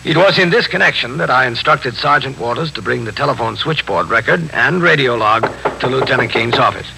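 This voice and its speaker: An actor playing a stern, methodical police captain in a 1950s radio drama, speaking in a measured, official and probing way. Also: male voice, medium pitch, lively intonation, gravelly, bright, forceful tone, exaggerated voice. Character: police captain narrating and questioning his men, stern, methodical. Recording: faintly noisy 1950s radio broadcast.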